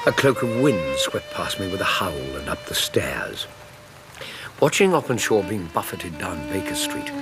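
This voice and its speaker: A man's voice: sits low, full character voice. An English man acting a reflective doctor who narrates his memoir in a radio drama, in a literary, atmospheric manner.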